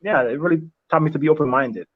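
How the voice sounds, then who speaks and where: A young man whose first language is Italian, answering interview questions in a reflective, halting way with many restarts and fillers. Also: a little high. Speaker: interview guest. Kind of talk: casual interview chat. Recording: slightly dull lo-fi sound.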